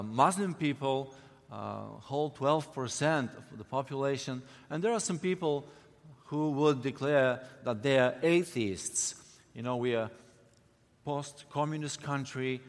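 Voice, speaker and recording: A man from Bulgaria with a Bulgarian accent, a missionary giving a friendly, halting church talk with many fillers. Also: medium pitch. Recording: long hall reverb.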